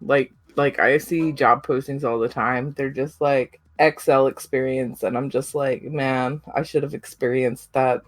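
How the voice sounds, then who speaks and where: A woman's voice: very deep voice, fairly even intonation, measured pace, hardly any pauses, no room sound. A young woman co-hosting a podcast, chatting casually, rambling with lots of fillers and giggles. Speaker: podcast co-host. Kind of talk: casual chat.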